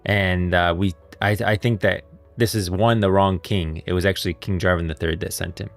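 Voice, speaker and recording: Man, very low pitch, casual streamer commentary, rambling and emphatic, music in background. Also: fairly even intonation, moderate pace, vocal fry. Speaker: livestream host. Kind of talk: casual lore commentary to viewers. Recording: music playing behind.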